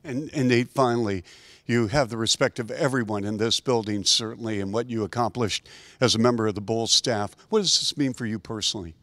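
A man's voice: medium pitch, brisk, fairly bright tone, dry recording. A middle-aged man hosting a team TV interview, upbeat and polished, speaking into a handheld mic at an indoor media backdrop. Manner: upbeat, polished broadcaster delivery.

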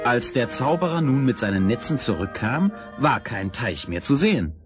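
A man narrating a scripted children's fairy tale as storyteller, in a measured, expressive way. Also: mid-range voice, over loud music.

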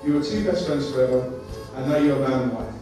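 A young man giving a joint best man speech, wry and quick, reading guests' messages aloud. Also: mid-range voice. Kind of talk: humorous wedding speech. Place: large banquet hall. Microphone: handheld mic, filmed from afar. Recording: echoey hall from far off, music and crowd behind.

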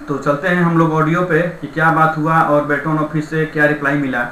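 A young man presents an informal update for his viewers. His voice is fairly high and bright, with a forceful edge. The room adds noticeable echo, and there is faint noise behind him. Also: level tone, brisk. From India. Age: young adult. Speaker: YouTube exam-update presenter.

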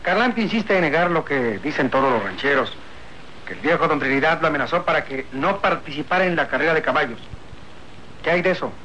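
A man's voice: fairly high pitch, lively intonation, fast talker, sharp tone. A man playing a bold, hot-tempered young rancher hero in a radio serial, emphatic, with a Mexican accent. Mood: determined. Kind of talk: old radio serial acting. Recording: faintly noisy old radio recording.

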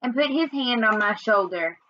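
Young female storyteller, high register, expressive read-aloud delivery, close dry room sound. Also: normal speed, no echo, highs cut off. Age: young adult. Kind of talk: read-aloud of a children's novel. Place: small indoor room at home.

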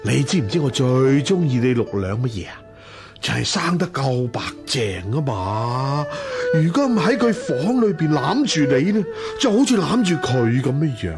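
An actor playing a lecherous, coaxing rich master of a household in a radio drama. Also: male voice, medium pitch, sing-song, normal speed, music in the background. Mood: lewd, coaxing. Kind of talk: Cantonese radio drama acting.

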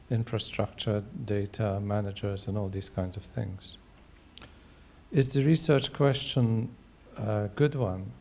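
A man in his sixties answering on a panel in measured, halting phrases, posing questions one after another. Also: on the low side, creaky, mellow. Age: 60s. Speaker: panel member, ocular oncologist. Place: large auditorium stage. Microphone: handheld microphone.

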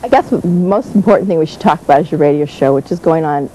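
A woman's voice: low-pitched, expressive, quick pace, dark tone, slight background noise, rough recording. An American woman interviewing a guest informally as co-host of a talk show. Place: community television studio.

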